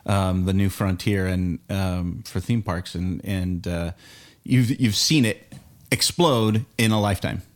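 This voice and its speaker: A man's voice: sits low, unhurried. A man interviewing in a casual chat, agreeing and encouraging his guest.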